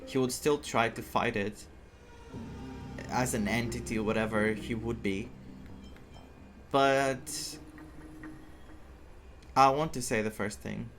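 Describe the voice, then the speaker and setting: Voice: medium pitch, fairly even intonation, slow pace, long pauses. A game streamer giving casual playthrough commentary, thinking aloud and reacting to the story. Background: game music.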